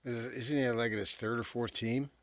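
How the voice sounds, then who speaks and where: A man co-hosting a sports talk show in casual banter, blunt and quick to disagree. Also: mid-range voice, fairly even intonation, no room sound. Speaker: sports radio co-host.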